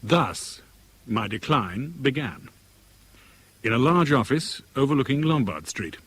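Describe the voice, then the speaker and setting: Dry British businessman in his forties, lively melodic delivery, measured and formal, vintage radio play, faint noise. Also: medium pitch. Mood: wry, reflective. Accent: British English. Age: 40s. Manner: measured, dryly formal, articulate. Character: wry, orderly forty-year-old sacked merchant banker, male. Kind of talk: 1970s British radio drama acting, first-person narration. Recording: 1970s radio recording, faint background noise.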